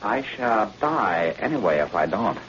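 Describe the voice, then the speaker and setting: A man's voice: on the low side, big pitch swings, unhurried, a bit bright. Grim henchman, muffled old radio. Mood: grim, resigned. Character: grim, defeated male Chinese communist henchman. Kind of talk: old-time radio drama acting. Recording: dull, noisy vintage radio recording.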